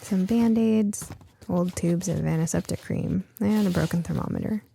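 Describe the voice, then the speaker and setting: A woman reads a first-person story as an audiobook narrator. Her tone is soft-edged and mellow, with noticeable vocal fry. She tells it expressively and with suspense. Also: fairly low pitch, normal speed, slight background noise.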